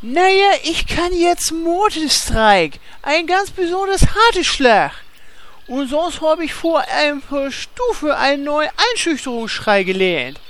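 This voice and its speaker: A woman's voice: high voice, lively intonation, slow, fairly bright tone, a little hiss or hum, full character voice. A woman voicing a naive, proud male warrior in a game parody radio play, earnest and easily embarrassed.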